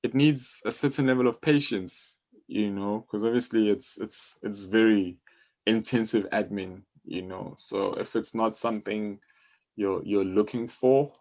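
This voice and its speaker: A South African man explaining things conversationally as an interview guest, often halting and repeating his phrases. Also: lowish voice, steady tone, darkish tone. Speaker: interview guest, music publisher.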